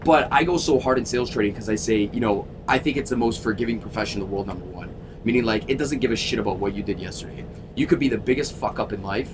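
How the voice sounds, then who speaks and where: A man in his thirties from Toronto, a sales coach telling his story in a casual interview, energetic and emphatic, swearing freely. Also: fast talker. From Toronto, Canada. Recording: constant vehicle rumble.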